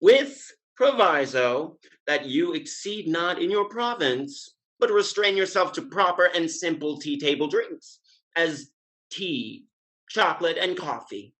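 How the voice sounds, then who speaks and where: A man's voice: fairly high pitch, lively intonation, measured pace, a bit bright. A man playing a witty playboy suitor in a Restoration comedy, speaking in a wry, mock-formal, declamatory way. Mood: mock-formal, declaiming. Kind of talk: Restoration comedy stage dialogue.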